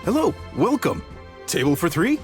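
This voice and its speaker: An actor playing a jovial, proud middle-aged restaurant chef in video game dialogue, hearty and salesmanlike. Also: male voice, a little high, huge pitch swings, moderate pace, over music. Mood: welcoming.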